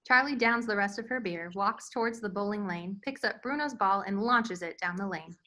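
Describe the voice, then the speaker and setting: A woman's voice: medium pitch, moderate pace, pushed and bright. A woman reading stage directions as scripted narration for a screenplay table read. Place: remote virtual table read.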